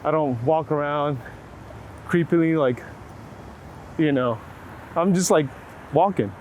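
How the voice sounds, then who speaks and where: A man's voice: on the high side, takes it slowly, a little mellow. An American man from Texas talking casually and reflectively as a photographer in an interview, with an informal, searching way of putting things. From Waco, Texas, United States. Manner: reflective, informal, hedging with frequent "like". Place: outdoors on a city street. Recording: clear street and traffic noise. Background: urban ambience, passing vehicles.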